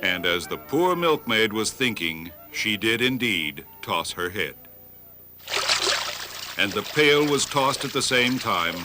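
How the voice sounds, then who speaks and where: A man narrating children's fables as a storybook narrator, with an expressive, measured delivery. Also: on the low side, over music. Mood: storytelling.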